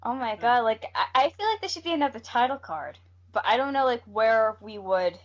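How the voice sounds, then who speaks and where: Higher-pitched woman chatting, lo-fi audio. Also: expressive, fairly quick. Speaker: podcast co-host. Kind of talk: casual chat. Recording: dull lo-fi podcast audio.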